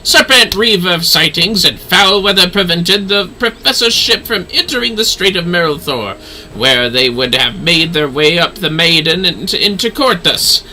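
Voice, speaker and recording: A man performs a haughty fantasy-game nobleman and astrologer. He is pompous and florid, with a bright, forceful edge and big swoops in pitch. His delivery is grand and easily delighted. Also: expressive, normal speed, slight background noise, exaggerated voice, rough recording. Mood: haughty, dry.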